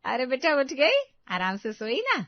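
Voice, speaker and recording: A woman's voice: high voice, huge pitch swings, measured pace, no echo, dull audio, pitched above the performer's own voice, exaggerated voice. A woman voicing a harsh, bossy older mother-in-law with a commanding manner in a family drama story. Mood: doting. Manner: scolding, commanding.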